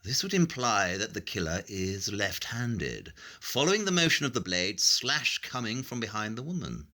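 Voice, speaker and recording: An actor playing a brilliant, brusque Victorian detective in an audio play, with a clipped, superior delivery. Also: male voice, fairly low pitch, very animated, normal speed, sharp tone. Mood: coolly analytical. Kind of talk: scripted audio play acting.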